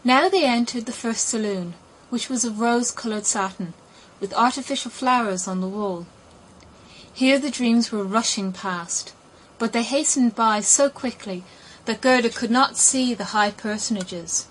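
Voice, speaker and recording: A woman reading a fairy tale as an audiobook narrator, in an expressive storytelling manner. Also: fairly high pitch, measured pace, low-quality recording. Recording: clear background noise.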